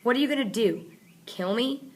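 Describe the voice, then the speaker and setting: A woman's voice: on the high side, lively intonation, normal speed, darkish tone, pitched above the performer's own voice, lightly acted. Woman voicing a curt, tough teenage boy. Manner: curt, irritable. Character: tough, short-tempered teenage runaway boy.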